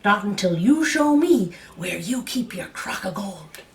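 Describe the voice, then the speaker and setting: Woman as lazy boastful Irish farmer, animated. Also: medium pitch, a little slow, recorded from a distance. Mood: stubborn.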